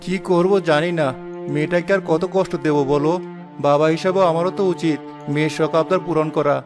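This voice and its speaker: Male actor as devoted cartoon dad, high-pitched for a man, tender, earnest, worried, music playing behind. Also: fairly even intonation, brisk, close to an everyday voice. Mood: troubled, resolute. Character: gentle, worried, devoted father of a poor girl. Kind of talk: cartoon voice acting, moral story.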